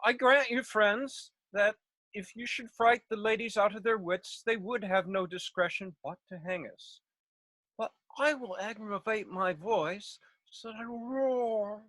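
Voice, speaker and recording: A woman's voice: on the high side, no room sound. A woman playing a self-important, overeager male weaver in a Shakespeare read, declaiming theatrically. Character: Nick Bottom, a bombastic, overeager male weaver and amateur actor. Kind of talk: Shakespeare table read over video call. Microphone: video call, close.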